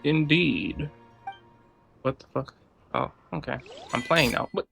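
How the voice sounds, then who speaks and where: A man's voice: on the high side, expressive, takes it slowly, creaky, a bit bright. A man giving casual, reactive gameplay commentary, talking to himself as he plays. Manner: reactive, swearing, talks to himself. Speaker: video game streamer. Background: game music.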